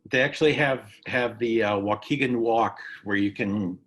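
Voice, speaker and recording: Male host for a casual interview session, conversational delivery, halting and prone to false starts. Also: on the low side, a bit bright. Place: recorded virtual session.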